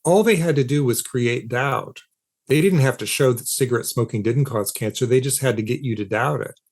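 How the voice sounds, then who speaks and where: A man speaking as an expert guest in an interview, explaining emphatically with halting, repeated starts to his sentences. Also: medium pitch. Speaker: interview guest, philosopher of science and author.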